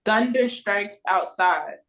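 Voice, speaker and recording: A woman's voice: medium pitch, expressive, slow pace, a bit bright. A woman reading stage directions and chatting casually during a script read-through on a video call.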